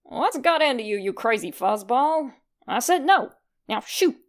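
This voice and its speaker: A woman voicing a gruff, smug, flirty male skeleton monster who talks in slangy tough-guy phrasing, in a storybook reading. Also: on the high side, animated, measured pace. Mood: annoyed. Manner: slangy tough-guy drawl with dropped grammar.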